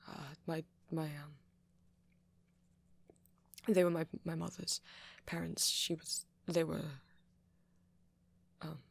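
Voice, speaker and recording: A man playing a shy, apologetic young vampire in an ASMR roleplay, with a posh British accent and a hesitant, stammering, soft-spoken way of talking. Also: a little high, little pitch movement, takes it slowly, creaky, long pauses, breathy edge, dry recording, full character voice. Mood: uneasy, reticent. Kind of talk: intimate ASMR roleplay audio.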